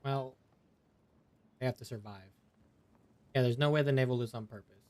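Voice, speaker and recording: A man streaming a video game, giving casual reaction commentary with drawn-out exclamations. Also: medium pitch, darkish tone. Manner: drawn-out exclamations, easily impressed.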